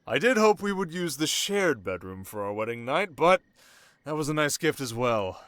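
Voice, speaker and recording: Male actor as young desert king: commanding, sharp, teasing, mid-pitched, intimate dry sound, ASMR roleplay. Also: no room sound, natural voice. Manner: measured, teasing, regal, with dramatic pauses. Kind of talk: scripted ASMR roleplay addressed to the listener.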